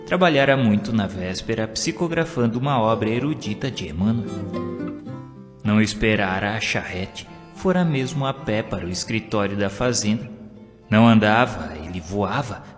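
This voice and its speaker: A man with a Brazilian accent reading devotional stories and poetry as a narrator, in a solemn, expressive, declamatory way. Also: on the low side. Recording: long reverb, guitar music behind. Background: plucked guitar music.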